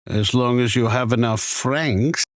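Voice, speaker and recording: An actor voicing a chatty, wry male shopkeeper in a video game, with a theatrical, deliberate sales patter. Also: mid-range voice, no room sound, studio quality, lightly acted. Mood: welcoming, salesmanlike. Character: chatty male shopkeeper, wry, easily annoyed arms trader. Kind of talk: scripted video game character lines.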